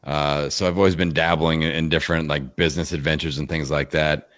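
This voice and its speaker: Deep-voiced man chatting energetically. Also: brisk, no echo, clean studio sound. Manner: energetic, rambling, lots of fillers. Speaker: podcast guest, fitness coach. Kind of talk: casual interview chat. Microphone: video call.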